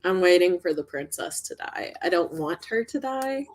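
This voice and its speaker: A woman with a slightly low voice talks casually about books. She speaks informally, as one panelist among friends. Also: lively intonation, moderate pace. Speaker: book discussion panelist. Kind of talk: casual book chat. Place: indoors on a livestream video call.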